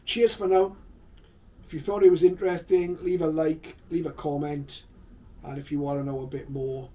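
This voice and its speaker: A man in his fifties tells viewers about his hobby in an unhurried, explanatory style. His voice is mellow and rounded, sitting a little higher than average. It is recorded a little way from the microphone. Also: level tone. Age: 50s. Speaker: vlogger, shortwave radio hobbyist. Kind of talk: reminiscing video narration to viewers. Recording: slightly distant mic, faint background noise.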